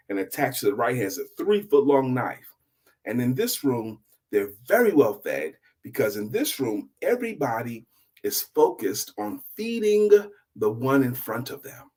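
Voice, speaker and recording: Husky man, animated storyteller. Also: medium pitch. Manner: emphatic, with dramatic pauses and hushed drops. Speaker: storyteller host. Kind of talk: dramatic, deliberate oral storytelling with a moral.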